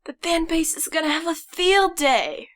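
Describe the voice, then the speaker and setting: A woman playing an excitable, nerdy young male sidekick in an audio drama, casual and dramatic with a paranoid streak. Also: very high-pitched, measured pace, heavily acted. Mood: curious, teasing. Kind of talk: fan audio drama acting.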